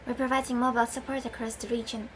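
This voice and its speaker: A composed young male alchemist from a fantasy game, speaking in calm, measured lines, as a woman reads him. The voice stays quite high. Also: a little hiss or hum, close to an everyday voice, rough recording.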